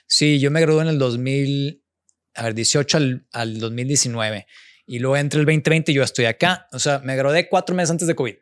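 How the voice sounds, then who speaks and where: A man, a guest architect telling stories in a relaxed interview chat. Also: medium pitch, moderate pace, smooth, no room sound, studio quality. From born in the United States, raised Mexican. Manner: relaxed storytelling, mixes in English words. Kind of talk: casual interview conversation.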